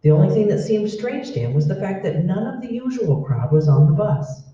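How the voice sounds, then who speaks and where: A man's voice: sits high, very animated, a little fast, mellow, slight background noise. A man narrating a scripted old-time radio drama. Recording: very echoey hall, distant mic, muffled.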